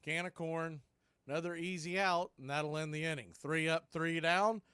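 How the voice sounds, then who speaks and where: A man calling live baseball play-by-play on the radio, in a brisk, conversational way that tracks every pitch and count. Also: fairly even intonation, sharp tone, smooth, dry recording, clean studio sound.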